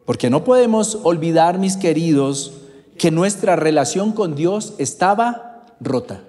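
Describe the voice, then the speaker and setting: A man's voice: on the high side, lively intonation, unhurried, warm tone. A man from Bogotá with a Bogotá Colombian accent, preaching a sermon and calling out congregation members by name. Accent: Bogotá Colombian Spanish. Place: church hall. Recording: reverberant church hall.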